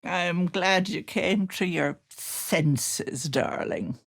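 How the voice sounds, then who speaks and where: A woman's voice: lowish voice, drawn-out words, gravelly, no room sound, studio quality, exaggerated voice. A woman voicing a sly, syrupy older auntie witch in a video game, cooing endearments with an edge of menace. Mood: wheedling, sly. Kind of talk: video game character voice lines.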